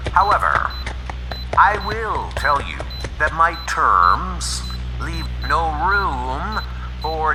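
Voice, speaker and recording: A man's voice: fairly high pitch, exaggerated voice. A man playing a pompous, theatrical villain airship commander, delivering a mock-polite, menacing ultimatum in a comic dub. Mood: mocking threat. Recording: long hall reverb, music underneath.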